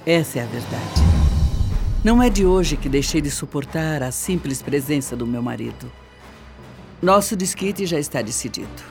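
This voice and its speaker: An actress with a Brazilian accent playing a composed, calculating middle-aged mother in a radio soap opera, speaking in a measured, hesitant, confessional way. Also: deep voice, lively intonation, music in the background.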